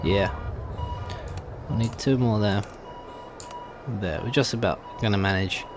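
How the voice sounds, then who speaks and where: Slow, thoughtful man doing game commentary. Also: on the low side, over music. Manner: hesitant, thinking aloud. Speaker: gaming video host. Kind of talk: casual let's play commentary.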